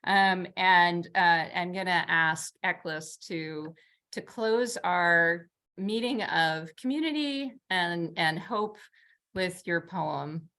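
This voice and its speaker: A woman hosts an online community meeting, her speech casual and halting with frequent restarts. Her voice sits low but sounds bright and forward on the call. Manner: halting, many fillers and restarts. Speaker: host of a nonprofit community meeting. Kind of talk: casual hosting, wrapping up a meeting.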